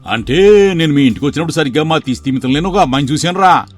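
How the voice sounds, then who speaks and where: Man playing a crafty greedy uncle, lively swooping delivery, slightly raised pitch, faint music bed. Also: fast talker. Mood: scheming. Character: scheming middle-aged man plotting to seize his sister's estate. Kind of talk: animated storybook voice acting.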